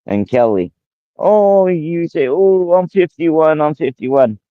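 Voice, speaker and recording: A man's voice: fairly high pitch, lively intonation, slow pace, dry recording. Man, 40s, rambling livestreamer who repeats himself; dead dry, muffled lo-fi sound. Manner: rambling, addresses a viewer by name, repeats himself. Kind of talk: casual livestream talk.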